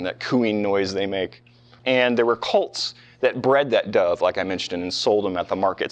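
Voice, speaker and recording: A man lecturing on history as a professor, talking conversationally with rhetorical questions and casual asides to his listeners. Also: medium pitch. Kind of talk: informal classroom history lecture with questions to the students. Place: live talk in front of a small audience.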